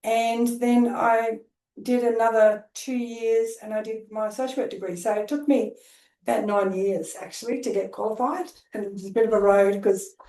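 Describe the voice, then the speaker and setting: A woman's voice: fairly high pitch, steady tone, normal speed, warm tone, distant mic. A middle-aged Australian woman from Queensland, a social worker telling her life story in a casual, rambling way with plenty of fillers. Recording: roomy echo.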